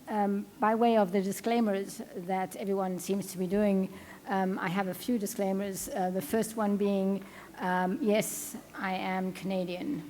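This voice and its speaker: A woman with a South African accent gives a conference presentation into a podium microphone. Her voice is mellow and relaxed, and she talks in a chatty way, full of ums and restarts. A faint hiss of background noise sits under the recording. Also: medium pitch. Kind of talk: academic conference talk. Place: lecture hall at a conference.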